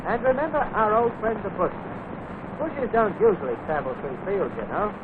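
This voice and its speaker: High-voiced british guy doing clipped 1940s army film narration, lots of lilt, tinny old soundtrack. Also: expressive, moderate pace. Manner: instructive, clipped, addressing soldiers directly. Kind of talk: scripted 1940s military instructional narration. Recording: tinny, noisy vintage wartime film soundtrack.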